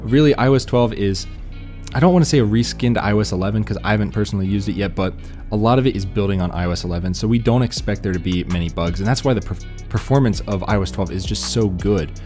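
A man's voice: fairly low pitch, fast talker, darkish tone, faint music behind. A man giving casual, laid-back tech news commentary in an informal, slangy way.

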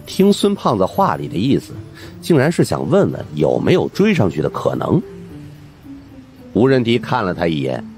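A man narrating a supernatural mystery audiobook in the first person, in an expressive storytelling style. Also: lowish voice, moderate pace. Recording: music under the voice.

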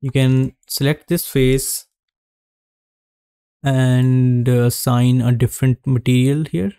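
A man narrating a screen-recorded 3D modeling tutorial, giving calm step-by-step instructions with frequent pauses. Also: medium pitch, clean tone, no room sound, studio quality.

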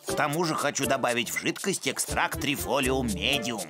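With music behind, a studious cartoon boy inventor. The voice sits at a middling pitch but moves about animatedly, scholarly and earnest in manner. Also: expressive, normal speed. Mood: pedantic. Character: bookish, serious young boy pup inventor. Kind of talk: animated children's series voice acting.

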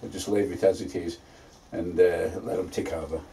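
Homely man in his fifties, low dark voice, chatty allotment vlogger, faint background noise. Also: fairly even intonation, normal speed, gravelly. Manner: chatty, homely, plain-spoken. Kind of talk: casual gardening vlog narration. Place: inside a greenhouse on an allotment.

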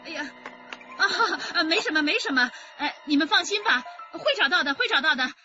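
A woman's voice: high voice, animated, brisk, dull audio, over music. An actress playing a distraught, panicky mother searching for her son in a radio drama. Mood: worried.

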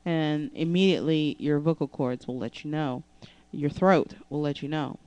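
A young woman vocal coach with a deep speaking voice, talking casually and haltingly with many fillers into a handheld mic in her living room. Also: steady tone, a little mellow, dry recording. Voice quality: low speaking voice. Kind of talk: casual vocal lesson vlog. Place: living room with couch and keyboard. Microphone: handheld microphone.

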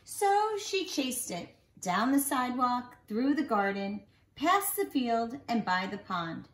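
Woman in her forties, very lively intonation, bedtime story reading, drawn-out emphasis, roomy home recording. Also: a little high. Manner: animated storytelling for young children, drawn-out emphasized words. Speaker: nursery school teacher reading bedtime stories. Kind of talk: expressive read-aloud of a children's picture book. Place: small living room at home, indoors.

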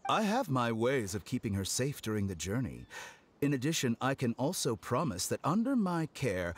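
A man's voice: mid-range voice, a little hiss or hum, close to an everyday voice. A man voicing a smug masked villain scholar. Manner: smooth, condescending, coolly polite. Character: suave, arrogant masked male villain scholar. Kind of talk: video game voice acting. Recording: game audio with soft music behind.

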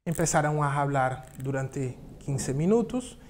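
Slow, halting man in a TV studio. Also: fairly high pitch, lively intonation, slight background noise. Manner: slow, thoughtful, halting with repeats. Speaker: studio guest. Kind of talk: studio interview talk. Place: TV studio set.

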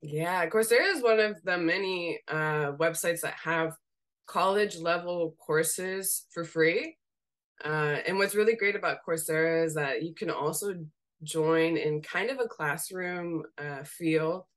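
A young woman from Seattle with a slightly raspy voice, chatting casually as a guest on a video call and laughing often as she talks. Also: on the low side, unhurried. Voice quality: raspy voice today. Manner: laughs often while talking, relaxed and candid. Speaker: guest, graphic designer. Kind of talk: casual interview chat. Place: home room.

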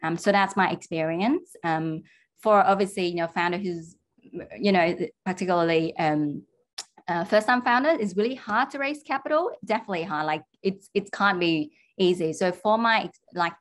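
A woman's voice: fairly low pitch, expressive, moderate pace. A young woman startup founder answering a panel question over a video call, hesitant, with many fillers and restarts. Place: home room with virtual background.

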